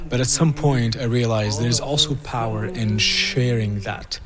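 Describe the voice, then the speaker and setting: A man speaks thoughtfully in a mid-range voice, occasionally searching for words. Clear music runs beneath him throughout. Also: medium pitch, measured pace. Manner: reflective, hesitant with fillers. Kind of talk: interview reflection. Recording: music playing under the voice.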